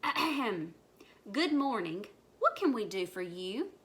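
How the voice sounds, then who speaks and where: A woman's voice: medium pitch, big pitch swings, unhurried. A woman voicing a formal, commanding chief frog from a children's storybook. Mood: polite, formal. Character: chief frog, polite but commanding male elder.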